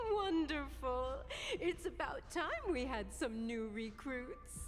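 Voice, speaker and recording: A woman's voice: high voice, big pitch swings, takes it slowly, full character voice. An actress playing a chatty elderly woman in a game cutscene, genteel, effusive and wheedling. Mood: flattering, delighted. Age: older. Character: chatty, flattering elderly woman with failing eyesight. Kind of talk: scripted game cutscene acting. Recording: long reverb, music under the voice.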